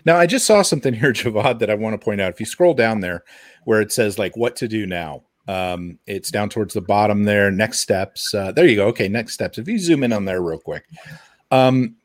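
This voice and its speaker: A man's voice: fairly low pitch, no room sound, studio quality. A man co-hosting a podcast, giving casual, explanatory commentary in a conversational way.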